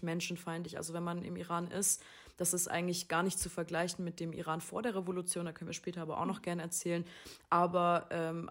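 Calm woman explaining, lowish voice. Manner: calm, informative, explaining background. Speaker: podcast host. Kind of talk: explanatory podcast talk.

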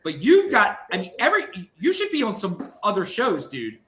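A man hosting a casual panel show, excitable and stammering, calling his guest dude. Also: high-pitched, normal speed. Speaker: podcast host.

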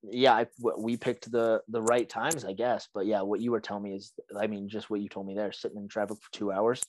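Raspy young man hosting a podcast. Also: on the low side, level tone, a little fast, a little mellow, no echo. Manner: rambling, full of "like" and restarts. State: sick, hoarse. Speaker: podcast host. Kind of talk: casual chat.

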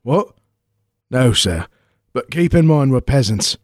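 A man's voice: mid-range voice, animated, slow, dry recording, clean studio sound. A man playing a cheeky, chatty peasant in a comic radio play. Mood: blunt, chatty. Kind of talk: 1940s-style radio play acting.

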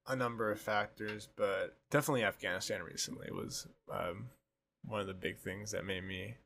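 A man's voice: fairly low pitch, a bit bright, slight background noise. A man co-hosting a casual podcast, rambling and trailing off mid-thought before restarting. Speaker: podcast co-host.

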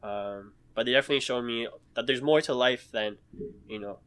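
A man's voice: medium pitch, brisk, a bit bright, smooth. A young man telling stories from his youth in a casual podcast chat, laid back, full of slang and laughing through his stories.

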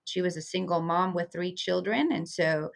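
A woman's voice: fairly low pitch, moderate pace, gravelly. A woman from Venezuela, a community liaison, speaking earnestly and reflectively as a guest in an interview. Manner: earnest, reflective, with frequent fillers. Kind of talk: conversational interview.

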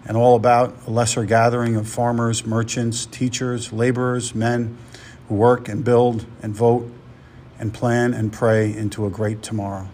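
A man reciting poetry with earnest, deliberate phrasing. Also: medium pitch, flat delivery, darkish tone, slight background noise. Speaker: volunteer poetry reader, teacher.

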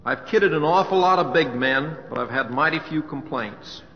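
On a muffled, hissy old radio recording with long reverb, an actor plays a folksy middle-aged American cowboy humorist. He talks in a humble, homespun way, with gentle hesitations and plain affection. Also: on the high side, moderate pace, natural voice. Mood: humble, moved. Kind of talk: 1950s radio drama acting.

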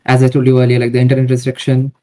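A man's voice: medium pitch, completely flat delivery, racing, warm tone. A man speaking as a podcast guest, giving hesitant, hedging answers in casual talk.

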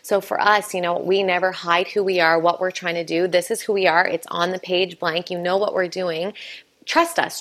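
Woman talking about her arts festival, lowish voice, lots of restarts and um's. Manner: earnest, many restarts and fillers. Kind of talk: conversational interview answer.

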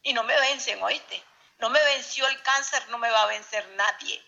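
A woman's voice: on the high side, normal speed, gravelly, sharp tone. A woman in her sixties with a Venezuelan accent, answering interview questions emphatically and repeating her phrases over a muffled internet call. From Zulia, Venezuela. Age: 60s. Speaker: interview guest, journalist.

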